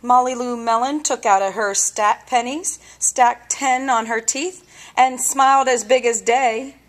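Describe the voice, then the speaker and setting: A woman reads a picture book aloud for children. Her voice sits slightly high and she goes slowly, phrasing expressively and pausing for drama. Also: steady tone. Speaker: storybook reader. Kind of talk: children's story read-aloud.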